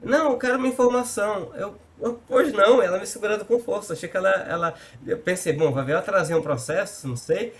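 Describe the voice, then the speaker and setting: A male podcast host with a fairly high voice keeps the conversation moving and turns his anecdotes into little scenes, voicing each part. A faint noise sits underneath him. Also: fast, few pauses. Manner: animated storyteller who acts out quoted dialogue.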